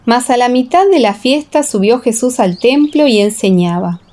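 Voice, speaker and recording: A woman reading a dramatized Bible audiobook as narrator, in a solemn, measured storytelling manner. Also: a little high, lively intonation, dark tone. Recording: echoing, long reverb.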